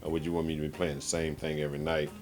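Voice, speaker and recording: A man's voice: very deep voice, flat delivery, very fast. A man who sings and plays blues guitar, talking in an interview in an emphatic, colloquial, demonstrative way. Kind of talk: casual interview.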